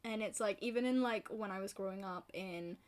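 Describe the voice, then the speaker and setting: Chatty young Scottish woman, soft Glasgow lilt. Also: medium pitch, a little fast. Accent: softened Glaswegian Scottish. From Scotland. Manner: chatty, rambling, self-deprecating, many fillers. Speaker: book vlogger. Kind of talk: casual chat to camera.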